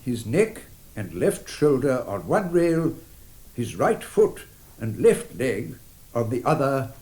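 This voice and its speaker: A man playing a grave English coroner in a radio drama, speaking formally and with measured emphasis. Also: medium pitch, sing-song, dark tone. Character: grave, deliberate male coroner summing up at an inquest. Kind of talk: British radio drama courtroom speech.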